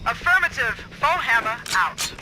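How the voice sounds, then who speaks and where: A woman's voice: a little high, very animated, moderate pace. A woman playing a cool, dry, dependable military dropship pilot in a video game, with clipped radio-call delivery. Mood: cool, businesslike. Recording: loud music and vehicle noise behind.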